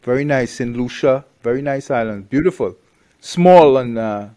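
Blunt man from Trinidad, halting speech. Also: medium pitch, expressive, warm tone. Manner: blunt, halting with many restarts. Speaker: guest. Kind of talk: casual interview talk.

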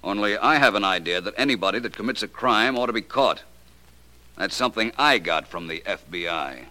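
An actor playing a suave, unflappable private detective in a 1940s radio drama, speaking with polished, dry understatement. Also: male voice, fairly low pitch, lively intonation, fairly quick, natural voice. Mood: dry, unruffled.

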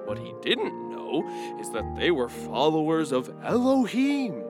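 Wildly animated, boastful little donkey. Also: medium pitch, unhurried, over music. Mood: eager storytelling. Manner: exuberant, self-assured, chatty. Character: small, clumsy, boastful, excitable young male donkey storyteller. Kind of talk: children's audio drama acting.

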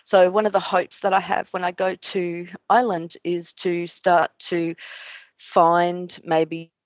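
A woman's voice: fairly low pitch, level tone, slow, no room sound. An Australian woman talking as a podcast guest in casual, meandering chat, full of fillers and restarts. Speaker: guest, Irish language learner.

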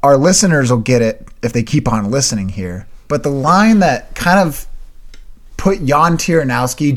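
Young male co-host, earnest and casual, mid-range voice, clears throat. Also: medium pitch. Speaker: podcast co-host and writer. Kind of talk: casual chat.

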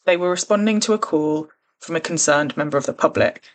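A woman's voice: sits low, brisk. A woman hosting a true crime podcast, talking in an earnest, careful and conversational way. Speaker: podcast host.

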